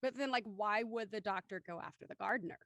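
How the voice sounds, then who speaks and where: A woman's voice: medium pitch, fast talker, fairly bright tone, dry recording, clean studio sound. A woman in a casual group discussion, offering speculative theories and firm opinions. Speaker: cast member discussing an audio drama.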